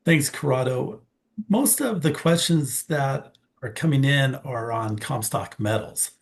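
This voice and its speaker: Creaky-voiced man moderating questions. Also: medium pitch, measured pace. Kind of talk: reading out investor questions.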